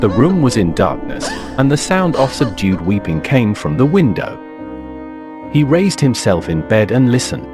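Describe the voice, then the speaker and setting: A man's voice: mid-range voice, sing-song, moderate pace, over music. A man narrating a scripted suspense story in a literary style.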